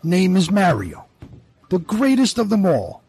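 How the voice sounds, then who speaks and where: A man voicing a boastful bowling champion with a raspy voice in a comedic audio drama. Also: high voice, wildly swooping pitch, a little slow, darkish tone, faint music behind, exaggerated voice. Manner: boastful, challenging. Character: boastful male bowling champion named Mario.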